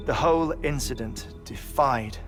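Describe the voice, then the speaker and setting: An actor playing a grief-haunted middle-aged male scientist in a video game, with a formal, gently eloquent and hesitant delivery. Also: mid-range voice, measured pace, music in the background, natural voice. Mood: earnest, explanatory. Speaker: researcher briefing a visitor. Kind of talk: cinematic video game dialogue, reflective exposition.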